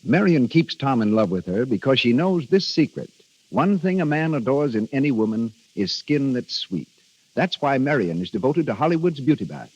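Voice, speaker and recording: A man's voice: mid-range voice, big pitch swings, moderate pace, a little mellow, breathy edge. A man announcing on 1930s radio, reading scripted narration and advertising copy in a salesman's style. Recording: dull old radio broadcast.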